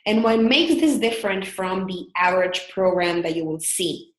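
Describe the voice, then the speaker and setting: A woman's voice: medium pitch, animated, moderate pace, echoey room. A woman in her thirties from El Salvador with a Salvadoran Spanish accent, presenting a business webinar in an earnest, candid way with frequent fillers. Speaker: business consultant.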